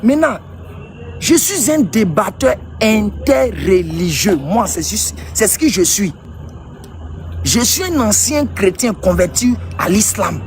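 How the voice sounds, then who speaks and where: A man's voice: high-pitched, sing-song, slow, faint music behind. A man debating live online, sarcastic and mocking toward his opponent while addressing his viewers directly.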